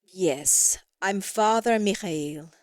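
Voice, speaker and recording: A woman voicing a gentle, caring male priest in a storybook tale, soothing and kindly. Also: medium pitch, extremely slow, no echo, clean studio sound. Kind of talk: storybook character voice in audio theatre.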